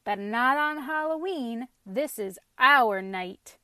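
A woman's voice: on the high side, lively intonation, takes it slowly, pushed and bright, dry recording, studio quality, exaggerated voice. A woman voicing a band of rebellious garden vegetables in a storybook, with a mocking, taunting manner.

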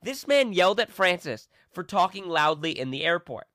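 A man's voice: high-pitched, big pitch swings, normal speed, sharp tone, no room sound, clean studio sound. A young man from Rhode Island co-hosting a podcast, chatting casually and introducing the show. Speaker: podcast co-host. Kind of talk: casual chat.

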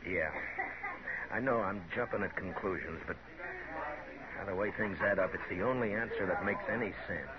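Brooding American frontier marshal, played by a man, very deep male voice, 1950s radio western, dull vintage sound. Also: moderate pace, pauses often, close to an everyday voice. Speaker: lead actor in a western radio serial. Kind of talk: 1950s radio drama acting. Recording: muffled old 1950s radio, crowd behind.

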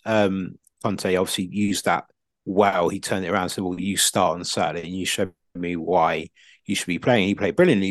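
A man talks as a casual football co-host, wandering discursively through his points. His pitch is on the low side. The recording is dry and close, with no room sound. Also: dry recording. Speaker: podcast co-host and pundit.

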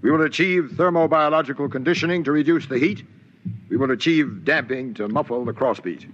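A man's voice: mid-range voice, a little fast, close to an everyday voice. A male actor as a stern military commander from an alien force in a vintage radio drama. He gives orders imperiously, in clipped phrases that expect obedience. The old recording is dull and very noisy. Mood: domineering. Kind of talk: old-time radio science fiction acting.

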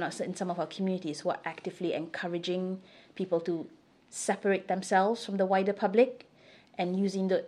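A woman's voice: on the low side, darkish tone. A middle-aged woman from Singapore answering interview questions in a candid, emphatic, conversational way. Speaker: interview guest.

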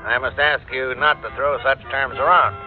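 A man's voice: mid-range voice, fairly even intonation, normal speed, over loud music, lightly acted. A man playing a guarded, stern American general answering a reporter in a clipped official manner in a radio drama. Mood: guarded, firm. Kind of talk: classic radio drama, staged press interview. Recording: tinny old radio recording.

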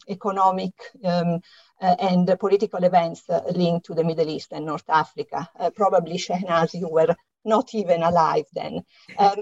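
A woman's voice: mid-range voice, a little mellow. Older italian lady, lots of ums and uhs, kinda muffled audio. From Italy. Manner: hesitant, many ums and uhs. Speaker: author and academic guest. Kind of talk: interview answers. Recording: slightly dull, lo-fi sound.

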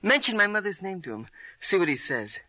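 An actor playing a bitter, brittle seventeen-year-old city boy in an old radio crime drama, halting and needling. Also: high-pitched, sing-song, sharp tone, dry recording, exaggerated voice. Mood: sly, insinuating. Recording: dull, dry old radio recording.

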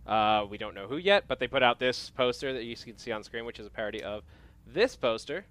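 A man's voice: medium pitch, animated, fairly quick, a bit bright. A man hosting an entertainment news show, chatting upbeat and loosely with lots of filler. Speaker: show host. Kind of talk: casual entertainment news chat.

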